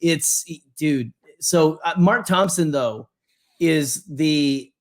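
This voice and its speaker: A man co-hosting a podcast, chatting casually in a loose, halting and joking way with rambling anecdotes. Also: a little high. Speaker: podcast co-host.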